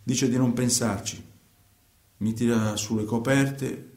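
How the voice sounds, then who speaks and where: An actor playing a man who tells his own night-driving story in a halting, repetitive, colloquial radio monologue. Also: mid-range voice, fairly even intonation, a little mellow, close to an everyday voice. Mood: subdued. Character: agitated male night driver telling his own story. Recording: roomy, echoing sound.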